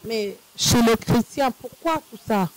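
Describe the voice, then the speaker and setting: Slow, measured woman hosting a faith talk show. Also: fairly high pitch, no echo, low-quality recording. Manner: slow, measured, with frequent hesitations. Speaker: host.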